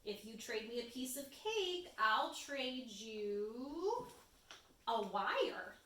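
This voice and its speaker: A woman's voice: fairly high pitch, big pitch swings, drawn-out words, sharp tone, full character voice. A woman voicing an eager, chummy, folksy squirrel who exclaims in a read-aloud storybook. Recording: roomy echo, distant microphone.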